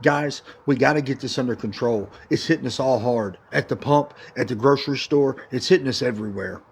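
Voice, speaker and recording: Gravelly American man talking casually. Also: mid-range voice, fairly quick. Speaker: social media commentator. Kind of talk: casual direct-to-camera opinion.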